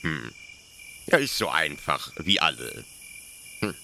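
An actor playing a proud, defensive young officer-judge in a radio play, explaining pedantically with hesitant, stammered pauses. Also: male voice, very deep voice, big pitch swings, vocal fry, pushed and bright, slight background noise, heavily acted. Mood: self-assured, zealous. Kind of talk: German literary radio play acting.

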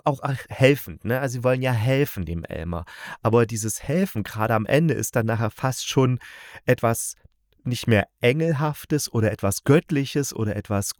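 A man's voice: medium pitch, a little mellow, no room sound, studio quality. A man, a podcast host casually reviewing radio plays in a rambling, playful, colloquial way.

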